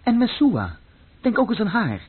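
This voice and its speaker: Lively-pitched, world-weary young man, muffled sound. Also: normal speed, breathy edge, full character voice. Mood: probing, weary. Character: disillusioned, bored young man, empty and blunt. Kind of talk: radio play acting. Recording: muffled, phone-like old radio recording.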